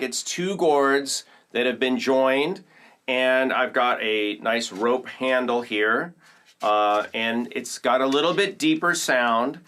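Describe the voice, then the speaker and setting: A man's voice: medium pitch, pushed and bright. A man from Oakland, California, hosting a percussion tutorial and explaining instruments to viewers in an upbeat, enthusiastic way. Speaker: percussion teacher and host. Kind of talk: casual demonstration tutorial.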